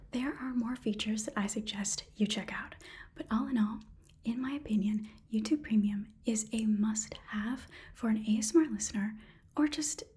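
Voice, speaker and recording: A woman's voice: fairly high pitch, darkish tone, slightly rough. A woman whispering breathily in a casual ASMR style as she reads a sponsor message.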